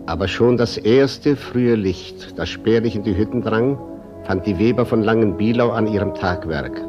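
A man narrating a tragic radio play in a measured, solemn manner. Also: lowish voice, lightly acted. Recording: dull old radio recording, music behind.

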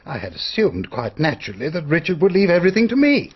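A pompous older English gentleman in a radio play, with a very hoarse, rasping voice. He is peevish and entitled, swinging widely in pitch with wounded indignation on a slightly muffled recording. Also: wildly swooping pitch, fast, heavily acted. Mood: wounded, indignant. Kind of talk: radio drama dialogue.

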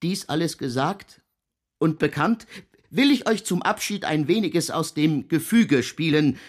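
Husky, guilt-ridden doomed composer. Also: male voice, fairly high pitch, expressive. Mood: resigned, grave. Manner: halting, solemn, archaic confessional speech. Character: guilt-ridden doomed male composer confessing devil pact, solemn. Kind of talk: radio drama acting, archaic German monologue.

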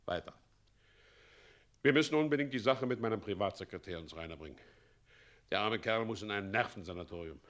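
An actor playing a scheming, pompous middle-aged president in a German radio play, swinging theatrically from commands to wheedling. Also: male voice, fairly low pitch, expressive, brisk, pauses often, fairly bright tone. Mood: smug, scheming. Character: scheming, pompous tyrant president, doting mockingly on his son.

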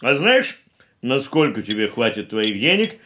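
An actor playing a fussy, thrifty older uncle in a radio drama, grumbling and admonishing. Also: male voice, mid-range voice, very animated, measured pace, pushed and bright, a little hiss or hum. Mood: sarcastic scolding. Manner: grumbling, chuckling, hemming, admonishing.

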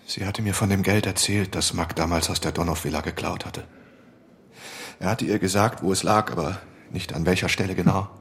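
Verbitterter junger Mann, tiefe Stimme. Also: male voice, low-pitched, steady tone, brisk. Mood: bitter, confessing. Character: bitter young male student confessing to police. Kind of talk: German radio crime drama acting.

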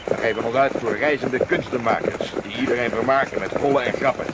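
Actor as a level-headed band leader, mid-pitched. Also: male voice, a little fast, heavy background noise, close to an everyday voice. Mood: commanding. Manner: firm, calming, instructing. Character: level-headed, commanding male leader of adventurers. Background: horse hooves and outdoor ambience.

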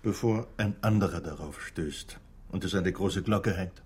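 Male investigator for radio drama: lowish, husky voice, deliberate, persistent questioner. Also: a little mellow. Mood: insistent. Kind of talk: German radio drama dialogue.

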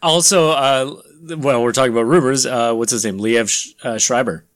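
A man's voice: medium pitch, normal speed. A man co-hosting a podcast in a casual pop culture chat. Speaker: podcast co-host.

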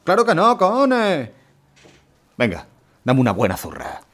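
An actor playing a gruff, swearing, reluctant man. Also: on the high side, wildly swooping pitch, a little slow, fairly bright tone, exaggerated voice.

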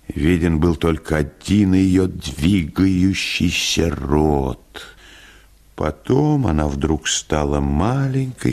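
A male actor narrates a comic literary story for radio. His reading is unhurried and richly expressive, with pitch that moves widely from phrase to phrase.

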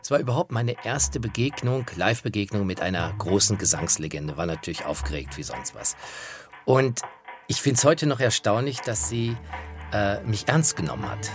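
Male presenter, anecdotal radio feature narration, clear music behind. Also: lowish voice, expressive, normal speed.

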